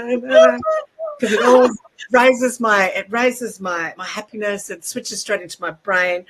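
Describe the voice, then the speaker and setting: Husky-voiced woman, casual panel guest. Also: fairly low pitch, a bit bright. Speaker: talk show guest.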